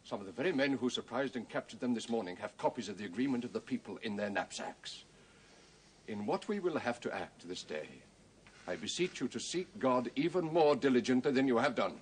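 A British actor playing a pious, commanding Puritan general with an authoritative, sermonising manner in a period drama. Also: male voice, mid-range voice, animated, fairly quick, slight background noise, close to an everyday voice. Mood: stern persuasion. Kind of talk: period television drama acting.

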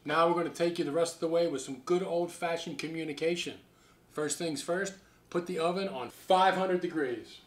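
A man's voice: fairly high pitch, normal speed. An American man in his forties giving a playful, folksy, casual cooking demonstration in a home kitchen. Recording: roomy kitchen echo.

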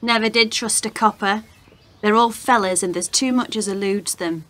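An actress playing a working-class chambermaid in a radio play, a blunt, colloquial, scornful and protective friend. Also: female voice, medium pitch, moderate pace, fairly bright tone, natural voice.

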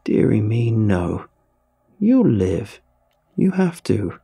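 Guy doing a creaky old spider lady monster, sneery and sweet-mean, husky and low. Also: sing-song, slow pace, warm tone, full character voice. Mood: gloating. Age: over 60. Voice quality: croaking, wobbling crickety tone. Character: spidery old woman monster, sly and gloating.

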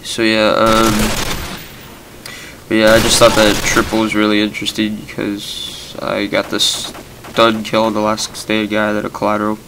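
A man's voice: on the low side, completely flat delivery, normal speed. A young man with a cold, giving rambling, casual commentary over his gameplay with lots of fillers and a stuffed, nasal voice. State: sick, nasal voice. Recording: background game gunfire noise.

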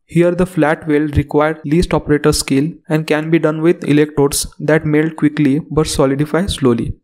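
A man narrating a scripted technical lesson as the instructor, in a steady reading delivery. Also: a little high, normal speed.

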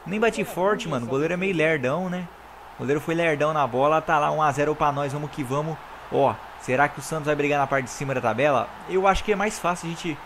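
A young Brazilian man, hoarse from recording, giving excited, slangy commentary over his own football video game match and talking straight to his viewers. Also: fairly high pitch, fast talker, a little hiss or hum. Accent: Brazilian Portuguese. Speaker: gaming YouTuber. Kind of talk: live gameplay commentary.

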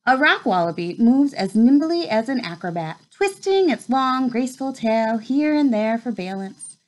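Lively higher-pitched woman reading aloud. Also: moderate pace, poor audio. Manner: expressive storytime reading with brief asides. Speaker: read-aloud host. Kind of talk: reading a children's nature book aloud. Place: small room at home, indoors.

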